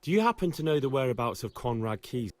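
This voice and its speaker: An actor playing an earnest young adventurer in a medieval video game, speaking in a direct, inquiring, plain way. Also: male voice, mid-range voice, very animated, fast, a little mellow, no room sound, lightly acted.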